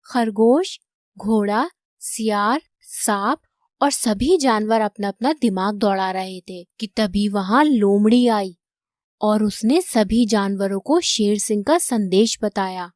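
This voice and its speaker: A woman's voice: mid-range voice, slow, darkish tone, dry recording, clean studio sound. A woman narrating a scripted children's moral story.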